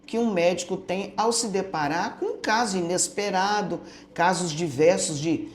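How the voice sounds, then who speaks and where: A woman's voice: fairly low pitch, normal speed, slight background noise. Older woman reminiscing in an echoey room. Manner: storytelling, tags statements with "né?". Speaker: retired school director and teacher. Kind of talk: reminiscing interview answers.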